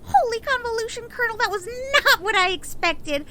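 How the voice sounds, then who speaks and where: A woman playing an excitable, quirky, nerdy young know-it-all in an audio drama, chatty and eager. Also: extremely high pitch, fairly quick. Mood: excitable, teasing. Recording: noisy, hissy background.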